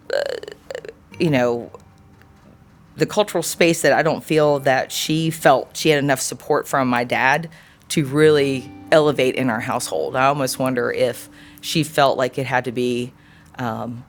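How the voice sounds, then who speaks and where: A woman's voice: very deep voice, normal speed, a little hiss or hum. Earnest, reflective woman in her forties. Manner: earnest, thoughtful, searching for words. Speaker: interviewee. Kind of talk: reflective personal interview answer.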